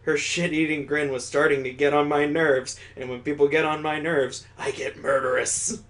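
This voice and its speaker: A man with a somewhat high voice reads a visual novel aloud. He comments casually as host. His asides are rambling and wry. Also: little pitch movement, moderate pace, fairly bright tone. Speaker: gaming host.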